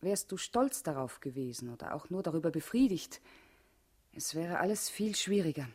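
Low husky female party comrade, grave and urgent but devoted, german radio drama. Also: animated, normal speed, a little mellow. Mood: urgent, pleading. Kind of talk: German radio play acting.

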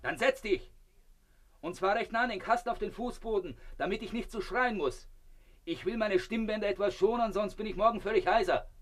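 An actor playing a self-important, bossy husband in a German radio play, speaking in a pompous, commanding manner. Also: male voice, high voice, brisk, close to an everyday voice. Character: self-important, bossy husband, contentedly living inside a crocodile.

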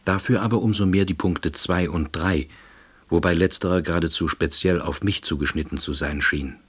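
An actor playing a withdrawn, middle-aged unemployed househusband who narrates a radio play in a dry, ruminative manner. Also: male voice, low voice, moderate pace, husky. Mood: dryly hopeful. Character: withdrawn, long-unemployed East Berlin househusband, quiet ruminator. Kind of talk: radio drama first-person narration.

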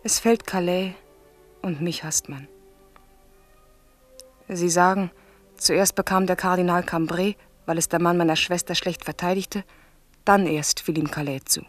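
A woman's voice: on the low side, steady tone, unhurried, long pauses, soft background music, close to an everyday voice. An actress playing a king's devoted, anxious young mistress in a German radio drama.

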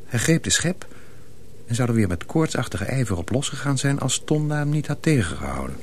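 An actor narrating a tale in a radio play, in a measured, scripted storybook manner. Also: male voice, medium pitch, sing-song. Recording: faint noise on old radio recording.

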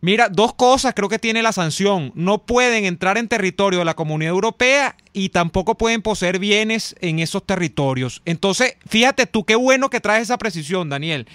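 A man hosting a talk show in a studio, explaining the news in a measured way. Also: high-pitched, expressive, a bit bright, no room sound. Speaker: host. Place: radio studio.

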